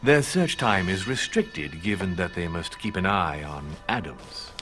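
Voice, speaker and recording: A man playing a composed, calculating aristocratic host in a video game, speaking with gracious, measured authority. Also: low voice, expressive, music in the background. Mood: calm, calculating. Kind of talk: dramatic video game voice acting.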